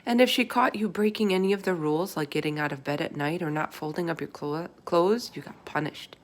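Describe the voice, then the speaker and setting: A woman's voice: low-pitched, fairly quick, lightly acted. A woman voicing a small, plucky, inquisitive orphan girl in a storybook, speaking in a matter-of-fact way. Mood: unhappy.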